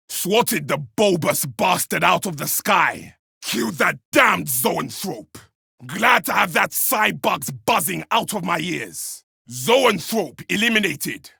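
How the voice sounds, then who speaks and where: A man voicing a battle-hardened, contemptuous Space Marine warrior in a video game, with a raspy, gravelly voice and forceful, scornful delivery. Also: high voice, dry recording, studio quality, heavily acted. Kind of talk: combat kill barks from a video game.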